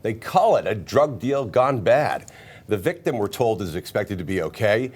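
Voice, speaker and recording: A man's voice: lowish voice, very animated, moderate pace, a little mellow, husky. A man with an American accent reading scripted news as a television anchor.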